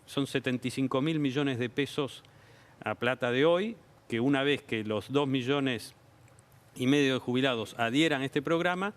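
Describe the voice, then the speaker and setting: Measured Argentine government official. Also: male voice, mid-range voice. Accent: Argentine Spanish. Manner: measured, explanatory, policy talk with figures. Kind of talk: TV interview answers. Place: TV studio.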